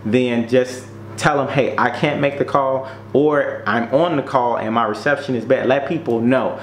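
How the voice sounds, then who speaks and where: A man's voice: mid-range voice, moderate pace. A man giving an informal, numbered how-to talk as a presenter, speaking directly to the listener and repeating key points for emphasis. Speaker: presenter giving business tips. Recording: roomy echo, faint noise and hum.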